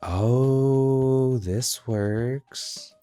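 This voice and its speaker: A young man with a deep voice and natural vocal fry, rambling casually and campily as he plays a game. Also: very slow, warm tone, soft background music. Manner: chatty, campy, self-mocking asides. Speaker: gaming video host. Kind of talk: casual rambling commentary while playing.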